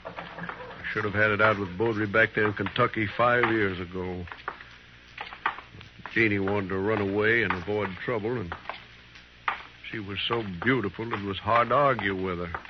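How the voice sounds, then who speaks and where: A man acts a proud, fatalistic frontier husband in a radio western. The American voice is fairly low and laconic, heard through a dull, hissy vintage recording. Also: fairly even intonation, moderate pace, long pauses, close to an everyday voice. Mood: wistful, resigned. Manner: plain-spoken, laconic. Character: proud, fatalistic prairie husband set on his honor. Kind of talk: 1950s western radio drama acting.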